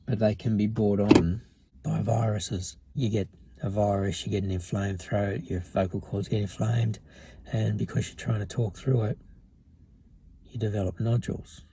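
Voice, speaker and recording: A middle-aged man talks to camera in a casual vlog, his voice hoarse and gravelly with creak. He speaks plainly and matter-of-factly, restarting now and then. Car and outdoor noise sits clearly behind him. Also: lowish voice, fairly even intonation, moderate pace. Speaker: vlogger. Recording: noisy car and outdoor background.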